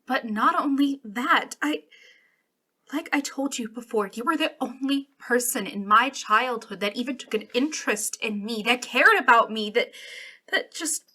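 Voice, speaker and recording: A woman's voice: a little high, pushed and bright, heavily acted. A woman playing an arrogant, jealous young princess in a dramatic ASMR roleplay, imperious but wounded and emotional. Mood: wounded, pleading. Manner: imperious, emotional, halting when hurt. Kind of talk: ASMR roleplay, dramatic one-sided dialogue.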